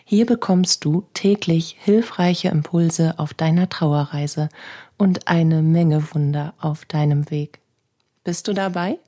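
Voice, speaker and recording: A middle-aged German woman with a cold, hosting a podcast on grief and spirituality and talking gently and reflectively in a loose monologue that is full of questions. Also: low-pitched, takes it slowly. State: has a cold. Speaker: podcast host, grief counselor.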